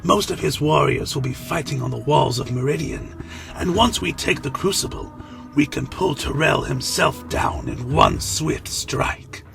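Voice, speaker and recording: A man playing an ambitious, condescending vampire lieutenant in a fan audio drama, persuasive and deliberate, with insinuating delivery. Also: on the high side, big pitch swings, gravelly, over music, full character voice. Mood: gloating.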